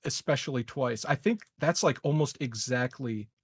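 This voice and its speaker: A man's voice: mid-range voice, moderate pace, breathy edge. A man chatting casually as a game player, musing aloud with some swearing. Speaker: player in a tabletop roleplaying group. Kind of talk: casual chat.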